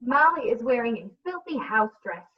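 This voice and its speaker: A woman's voice: a little high, animated, moderate pace, slightly rough. A woman comedian narrating a comedic radio play through a dull, distant mic.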